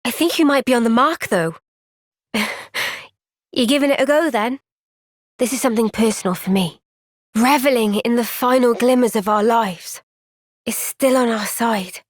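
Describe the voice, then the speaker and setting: An actress voicing a gentle, caring young female soldier in a game cutscene, speaking softly and earnestly. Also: a little high, measured pace, long pauses, dry recording, studio quality. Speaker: game character. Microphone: close studio mic.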